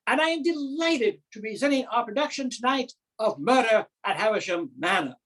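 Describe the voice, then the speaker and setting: A woman's voice: medium pitch, husky, low-quality recording. Woman playing a pompous amateur theatre director. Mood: proud, welcoming. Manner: formal, pompous, deliberate pauses. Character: earnest, self-important amateur drama society director, male. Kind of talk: theatrical comic monologue.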